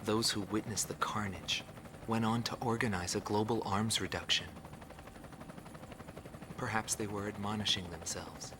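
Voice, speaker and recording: A man playing a sober reporter, narrating a war documentary in a game with solemn, deliberate delivery. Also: on the low side, background noise, close to an everyday voice.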